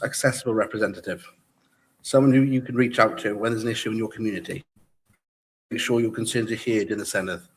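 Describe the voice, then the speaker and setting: A man's voice: lowish voice, slight background noise. Earnest man reading a prepared speech. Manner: earnest, steady reading of set points. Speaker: independent election candidate.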